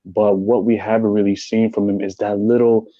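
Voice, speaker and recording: A man's voice: lowish voice, flat delivery, normal speed, mellow, dry recording, dull audio. A man calling in to a fan show, breaking down the match in earnest, rambling detail. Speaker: caller, football fan. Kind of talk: casual match analysis.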